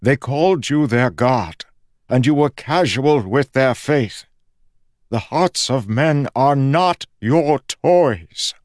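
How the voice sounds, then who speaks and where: A man voicing a weary, dying ancient male god, speaking softly, slowly and gravely. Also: medium pitch, lively intonation, no echo, studio quality, pitched above the performer's own voice. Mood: solemn. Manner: soft, slow and grave, fading.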